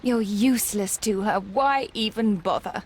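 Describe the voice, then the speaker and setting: A woman's voice: mid-range voice, measured pace, slight background noise, natural voice. An actress playing a cold, contemptuous female villain who speaks mockingly in a video game drama. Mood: cold, taunting.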